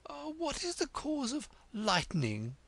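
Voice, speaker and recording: Reader playing high-pitched, soft, scatterbrained storybook queen, rambling. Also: huge pitch swings, slow pace, vocal fry, heavily acted. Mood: anxious. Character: fretful muddled queen, gentle and anxious.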